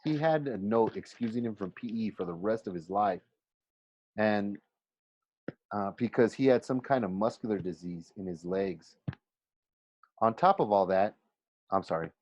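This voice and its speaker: A man reads a first-person story aloud in an expressive, conversational way, with dramatic emphasis and pauses. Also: lowish voice. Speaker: teacher reading a novel aloud for a class. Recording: roomy, slightly dull home recording.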